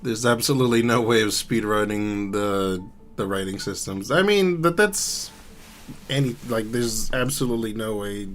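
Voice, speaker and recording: A man's voice: on the low side, normal speed, fairly bright tone, slight background noise. A man, a guest on a casual chat, who gets giggly and self-conscious. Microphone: video call.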